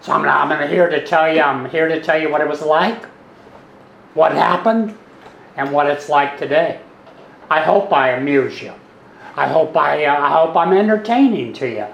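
An older man with a gravelly voice, speaking softly and wandering through his thoughts as the guest speaker at a meeting. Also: fairly high pitch, normal speed, dull audio. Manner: soft-spoken, self-deprecating, meandering with restarts. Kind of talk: personal talk to a meeting. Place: meeting hall. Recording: echoey hall, some background noise.

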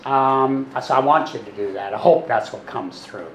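An older man with a gravelly voice, speaking softly and wandering through his thoughts as the guest speaker at a meeting. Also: medium pitch, normal speed. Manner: soft-spoken, self-deprecating, meandering with restarts. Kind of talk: personal talk to a meeting. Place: meeting hall. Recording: echoey hall, some background noise.

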